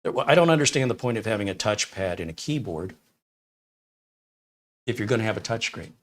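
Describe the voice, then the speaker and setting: A talkative man in his fifties or sixties with a fairly low voice, from Detroit. He hosts a relaxed live tech stream from a small studio room, rambling between tasks and giving his opinions bluntly. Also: moderate pace. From Detroit, USA. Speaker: tech reviewer host. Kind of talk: casual live-stream chat answering viewer questions.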